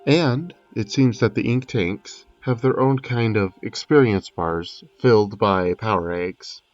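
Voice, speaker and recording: A man's voice: lowish voice, measured pace, highs cut off. A man delivering scripted video game analysis commentary with informal, conversational asides. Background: music under the voice.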